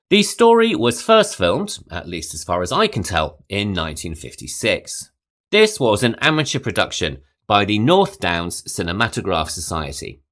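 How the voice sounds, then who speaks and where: A man hosting a podcast, reading a scripted film history and quoting sources in a measured way. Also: low voice, fairly bright tone. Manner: measured, reads quotes aloud. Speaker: podcast host.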